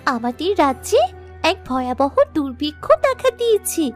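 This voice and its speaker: A woman playing a kind, selfless young princess in a cartoon fairy tale, with an earnest, gentle manner. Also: high voice, sing-song, moderate pace, faint music behind. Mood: earnest, pleading.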